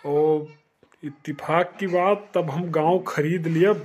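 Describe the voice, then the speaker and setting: A man's voice: fairly high pitch, steady tone, slow, a little mellow, husky. A man voicing a sulky, cocky drunken villager who fancies himself a don, swaggering defiantly in a comic cartoon with an Awadhi accent. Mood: grandiose.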